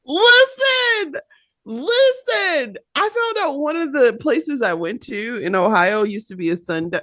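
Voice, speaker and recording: A woman's voice: high voice, sing-song, slow, a bit bright. A woman with a Midwestern accent, co-hosting a casual pop-culture podcast and laughing often. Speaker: podcast co-host.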